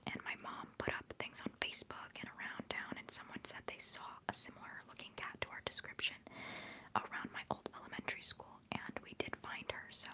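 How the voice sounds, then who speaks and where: A young woman hosting a livestream, whispering softly as she rambles through chat messages and answers viewers fondly, holding back tears. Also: a little fast, rough recording. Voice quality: soft whispering voice. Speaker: livestream host.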